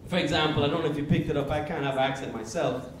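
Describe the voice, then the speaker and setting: Male stand-up comic from Jamaica, slightly high voice, storytelling in an echoey, noisy club. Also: fairly even intonation, quick pace, far from the mic. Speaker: stand-up comedian. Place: live comedy club stage.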